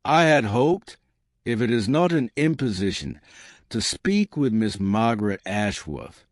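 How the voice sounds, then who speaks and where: A man's voice: medium pitch, very animated, measured pace, no echo, clean studio sound. A man voicing an earnest, courteous army colonel in an audiobook, speaking formally and directly. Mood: polite, resolute. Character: earnest, courteous army colonel, a plain-spoken gentleman suitor. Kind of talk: audiobook character dialogue.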